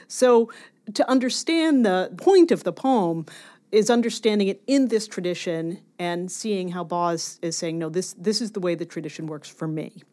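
A woman's voice: mid-range voice, a little mellow. A woman scholar being interviewed, explaining ideas in an academic but conversational and emphatic way.

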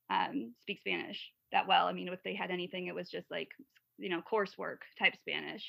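A woman's voice: mid-range voice, gravelly, no room sound. A woman from Wisconsin, a podcast guest and mother of three, talking at length in a casual interview, rambling with many fillers and restarts. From Wisconsin, USA. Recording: dull lo-fi sound.

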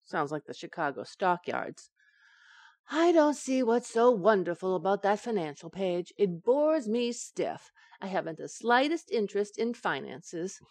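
A woman's voice: mid-range voice, sing-song, unhurried, a little mellow, dry recording. A woman playing a scatterbrained, coaxing young wife in an old-time radio sitcom, with a breezy, innocent comic delivery. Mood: bored, dismissive.

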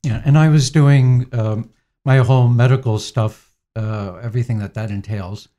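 Soft-spoken priest in his sixties. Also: mid-range voice, steady tone. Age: 60s. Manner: gentle, unhurried, frequent fillers. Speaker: priest, interviewee. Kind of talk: reflective interview answers.

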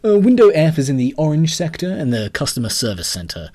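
A man's voice: fairly high pitch, big pitch swings, a little fast, voice pushed up. A man doing a chirpy bland bank clerk. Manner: bright, helpful, overly cheerful.

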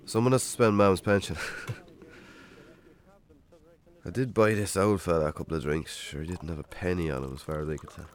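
An actor playing a hungover, defensive Irish farmer in a naturalistic radio drama. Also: male voice, deep voice, fast talker, lightly acted. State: hungover, groaning.

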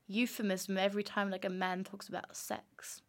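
A young woman from France, co-hosting a podcast in a relaxed, filler-heavy way. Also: mid-range voice, normal speed. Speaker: podcast co-host. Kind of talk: casual chat.

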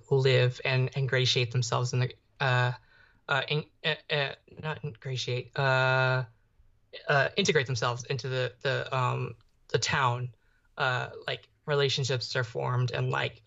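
A man's voice: mid-range voice, pushed and bright. A young adult man co-hosting a podcast in a casual, rambling way. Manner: rambling, peppered with "like". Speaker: podcast co-host. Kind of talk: casual chat. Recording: slightly dull, muffled audio.

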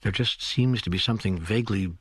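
A man playing an earnest, puzzled questioner of God in an audiobook dialogue, hesitant and husky-voiced. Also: fairly low pitch, a little fast, fairly bright tone, no echo, studio quality. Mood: unsure. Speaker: author and co-narrator.

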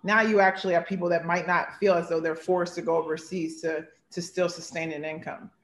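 A woman's voice: on the low side, steady tone, a little fast, echoey room. A woman in her thirties, a basketball coach, giving earnest, heartfelt interview answers full of "like" and "you know". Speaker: basketball head coach, guest.

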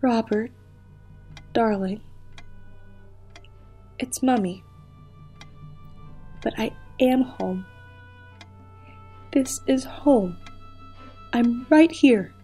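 An actress playing a grieving, fragile mother in an audio play, with halting, emotional delivery. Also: on the high side, warm tone, soft background music. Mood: tender. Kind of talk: dramatic audio play acting.

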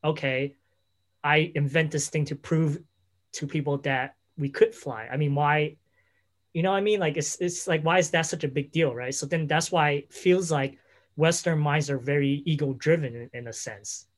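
A man's voice: fairly high pitch, steady tone, moderate pace. A man interviewing a guest in casual conversation, rambling through his questions and leaning on fillers like "you know" and "right?".

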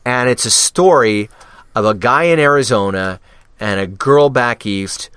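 A man's voice: mid-range voice, a little slow, fairly bright tone, clean tone, no echo. A man guesting on a podcast, chatting casually about his work, rambling with many fillers and restarts. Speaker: podcast guest, radio DJ and actor. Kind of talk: casual interview chat.

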